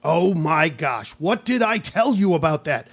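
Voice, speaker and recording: A man's voice: fairly high pitch, big pitch swings, unhurried, full character voice. A man playing an exasperated, scolding mid-century husband in a live radio play, nagging and questioning emphatically.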